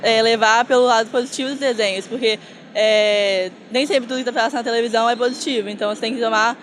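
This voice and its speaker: A woman's voice: on the high side, fairly even intonation, fast, slight background noise. Earnest Brazilian woman, hesitant. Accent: Brazilian Portuguese. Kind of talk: street interview answer.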